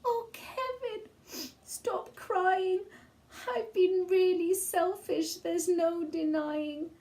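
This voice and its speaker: Woman voicing a high, pleading, apologetic small boy. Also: fairly even intonation, very slow, darkish tone, full character voice. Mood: tearful, remorseful. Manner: pleading, earnest. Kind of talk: children's storybook character voice.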